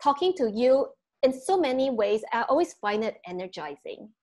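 A woman's voice: on the high side, big pitch swings, normal speed, warm tone. A woman, a TV presenter chatting and laughing on a video call from home, her nose a little congested. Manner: laughs often, mixes in Mandarin. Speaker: TV presenter, guest. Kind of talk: casual video-call chat. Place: home room, indoors. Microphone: video call, earphone mic.

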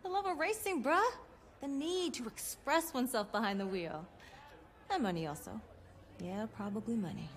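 An actress playing a cheeky, self-assured young wheeler-dealer, speaking in wry, teasing, slangy banter for a video game. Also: female voice, fairly high pitch, expressive, normal speed, close to an everyday voice. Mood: wry, playful.

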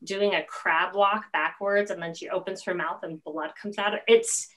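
A middle-aged woman hosting a casual video-call interview, speaking in halting, emphatic phrases. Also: lowish voice, steady tone, a bit bright. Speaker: host, interviewer. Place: indoor home room, on a video call.

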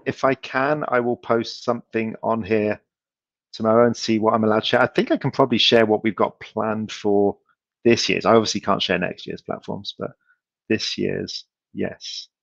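A man's voice: on the low side, normal speed, vocal fry, dry recording, highs cut off. Hesitant man answering questions on a video call. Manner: relaxed, hesitant, many ums and restarts, laughs easily. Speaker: company speaker answering audience questions. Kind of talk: casual conference Q&A. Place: home room, video call.

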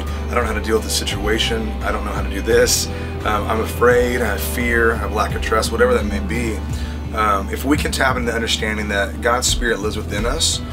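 A man in his thirties losing his voice, talking earnestly into a phone on a tour bus after a show. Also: fairly low pitch. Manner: earnest, rambling, with fillers and restarts. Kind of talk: casual livestream talk. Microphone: phone held at arm's length.

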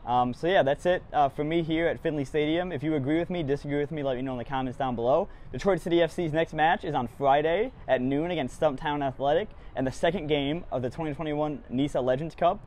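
A man's voice: a little high, fast, hardly any pauses, darkish tone. A young man giving post-match soccer commentary to camera as a fan vlogger, recorded outside a stadium on a lapel mic. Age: young adult. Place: outdoors on a street outside a stadium. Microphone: small lapel mic clipped to shirt. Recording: outdoor street ambience with clear background noise.

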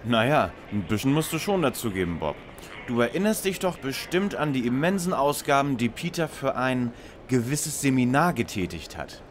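A man plays a pedantic young detective leader in a spoof audio drama. He speaks in wordy, lecturing sentences with lively intonation, a faint ambience behind him. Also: mid-range voice, moderate pace, a little hiss or hum, natural voice. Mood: teasing. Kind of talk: parody detective radio play.

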